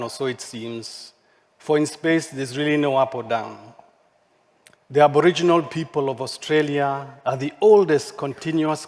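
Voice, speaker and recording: A man who speaks English as his second language, giving a measured, poetic keynote lecture with deliberate rhetorical questions. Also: mid-range voice. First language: not English. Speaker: architect giving a keynote talk. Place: large hall at a forum. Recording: long hall reverb, stage mic.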